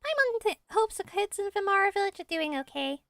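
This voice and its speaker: A woman voicing a tiny, excitable floating fairy girl companion who speaks of herself in the third person, for a game. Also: very high voice, fairly quick, no echo, studio quality, voice pushed up, heavily acted. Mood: hopeful.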